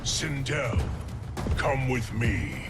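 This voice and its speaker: Video game messenger: commanding, solemn, slow delivery under loud dramatic score. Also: male voice, medium pitch, very animated, over loud music. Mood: stern, warning. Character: stern emissary summoning warriors for a powerful mistress.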